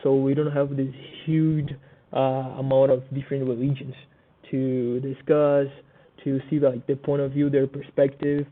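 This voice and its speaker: A young man with a Brazilian Portuguese accent gives a class presentation, stopping often for fillers and restarts. His mid-pitched voice stays flat and even. The sound is thin and tinny, like a phone line. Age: young adult. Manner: halting, many fillers and restarts. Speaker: student presenter.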